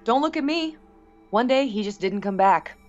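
A woman playing a brash, excitable young man in an audio drama, slangy and emphatic, over music. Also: fairly high pitch, normal speed, muffled recording, lightly acted. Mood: indignant.

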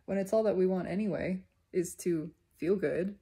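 A woman giving an unscripted, reflective spiritual monologue in deliberate, halting phrases with emphatic repetition. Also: lowish voice, mellow. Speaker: speaker sharing personal spiritual reflections.

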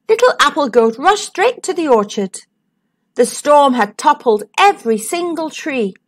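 Lady reading a kids' book aloud, high bouncy sing-song voice, slow and careful. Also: a bit bright. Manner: sing-song, dramatic pauses. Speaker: children's storyteller. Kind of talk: expressive picture-book read-aloud.

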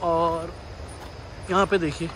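A man's voice: a little high, little pitch movement, takes it slowly. A young man from India narrating a casual travel vlog to his viewers, chatty and enthusiastic, recorded on a phone in a car and outdoors. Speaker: travel vlogger. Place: in a car, then outdoors by a river. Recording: outdoor phone audio with loud background noise.

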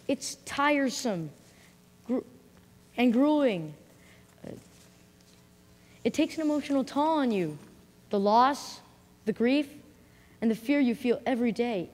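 A woman's voice: a little high, darkish tone. A woman playing a weary young male soldier. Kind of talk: amateur drama acting. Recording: echoey hall.

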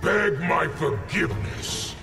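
A man's voice: fairly high pitch, very animated, takes it slowly. A man voicing an imperious, menacing warlord in a video game, making grand pronouncements. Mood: imperious menace. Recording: distant, under loud music.

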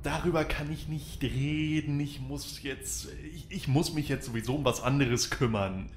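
A man playing an evasive federal agent in a radio drama, speaking haltingly. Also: fairly high pitch, background noise, lightly acted. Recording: echoey, music underneath.

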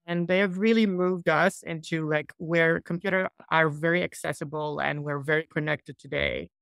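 Gravelly-voiced man on a video call. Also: on the high side, fairly even intonation, moderate pace, fairly bright tone, no echo. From Iraq. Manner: thoughtful, loose, with many fillers and restarts. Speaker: software company founder. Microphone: laptop mic on a video call.

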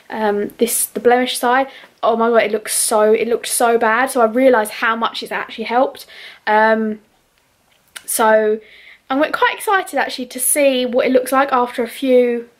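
A woman in her twenties with a British accent, chatting casually in a beauty vlog from her bedroom, filming at arm's length with lots of ums. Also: high voice. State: losing her voice, under the weather. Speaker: beauty vlogger. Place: bedroom at home, indoors. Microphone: handheld camera or phone at arm's length.

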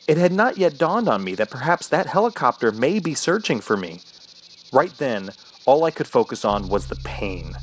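An actor playing an injured, panicked man alone in the wilderness, narrating his ordeal in halting, theatrical radio horror style. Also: medium pitch, a little hiss or hum, natural voice, low-quality recording. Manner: halting, anguished, theatrical delivery. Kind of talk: dramatic first-person radio horror narration. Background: music.

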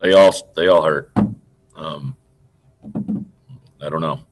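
A middle-aged man, a basketball coach, answering press questions over a video call, halting and trailing off quietly as he restarts sentences. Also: very deep voice, fairly even intonation, background noise. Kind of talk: postgame press conference answers. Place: indoor room in front of a team backdrop. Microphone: video call computer mic.

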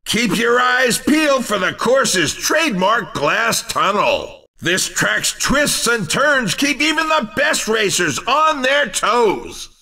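An actor playing an excitable, upbeat male race commentator in a video game, with showy, hyped announcer delivery. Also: very high voice, slow, far from the mic, heavily acted. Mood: excited, teasing.

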